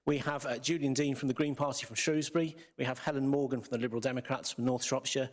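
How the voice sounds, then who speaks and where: A man hosts a business debate in a theatre, talking quickly and keeping things moving. His pitch sits in a middle range, and he sounds brisk, organised and friendly as he sets out the rules and introduces each candidate. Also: steady tone. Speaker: debate host. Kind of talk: hosting a hustings debate. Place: theatre with audience.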